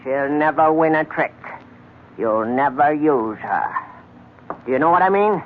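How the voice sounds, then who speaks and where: Casting: taunting smooth villain, high-pitched voice, theatrical veiled threats, dull vintage radio serial sound. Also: male voice, expressive, unhurried, slight background noise. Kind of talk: 1940s radio drama acting. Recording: dull old radio recording.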